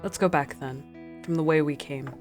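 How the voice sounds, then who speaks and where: A woman's voice: sits low, normal speed, lightly acted. A woman playing a stern, cautious expedition leader in a radio drama, commanding and no-nonsense. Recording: faint guitar music behind.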